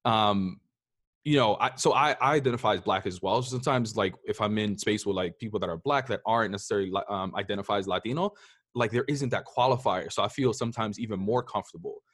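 A man's voice: fairly low pitch, fast, fairly bright tone, no room sound, clean studio sound. A man hosting a podcast in casual, personal conversation, acting out remarks that others have made. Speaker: podcast host.

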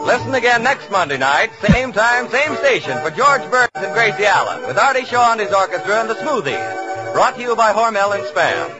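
A man's voice: sits high, big pitch swings, normal speed, loud music behind the voice. A man announcing on 1940s radio in a polished, salesmanlike advertising style. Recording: muffled 1940s radio broadcast.

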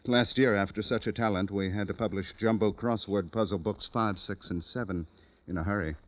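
An actor playing a self-important, fussy book publisher in an old radio drama, talkative and pompous. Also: male voice, fairly low pitch, steady tone, brisk, a bit bright, natural voice. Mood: confiding, pompous. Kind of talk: 1950s radio drama acting. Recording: dull old radio recording.

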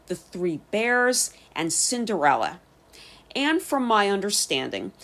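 A woman with an American accent hosting a podcast, reading scripted narration in careful, clear phrases. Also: mid-range voice, a bit bright. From United States. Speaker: podcast host and storyteller.

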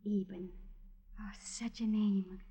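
Dreamy, mellow-voiced flirtatious woman. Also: medium pitch, fairly even intonation, slow, slightly rough. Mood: dreamy, enchanted. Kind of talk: 1950s radio drama acting. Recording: muffled old radio recording.

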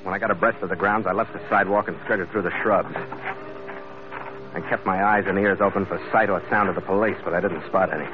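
A man's voice: low-pitched, steady tone, fast, close to an everyday voice. An actor playing a tough, wry sea captain who narrates his own adventures in 1940s radio style. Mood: tense narration. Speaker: lead actor narrating the story. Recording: dull old radio recording, loud music behind.

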